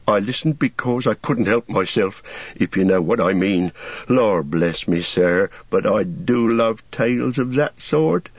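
A man's voice: lowish voice, lively intonation, unhurried, dry recording, muffled recording. A man voicing an eager, fretful young male hobbit gardener who talks in a humble, rustic, flustered way. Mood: eager earnest. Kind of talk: audiobook character voice.

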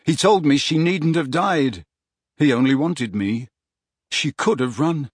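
Guy reading as a quiet, determined teen wizard boy, steady, a bit husky. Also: on the high side, a little slow, no room sound, studio quality. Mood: quiet, grim.

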